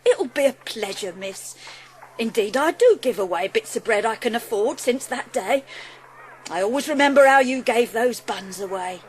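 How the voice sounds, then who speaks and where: Woman acting a humble, lively shopkeeper. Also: on the high side, moderate pace, slightly rough, rough recording. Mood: kindly, deferential. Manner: deferential, homely, chatty. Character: good-natured, humble working-class baker's shop woman. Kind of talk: dramatised audiobook acting.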